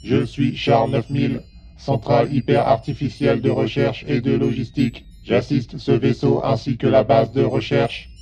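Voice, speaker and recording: A man playing a polite, formal shipboard artificial intelligence in an audio drama, making measured announcements. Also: mid-range voice, fairly even intonation, over loud music, natural voice. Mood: formal, even.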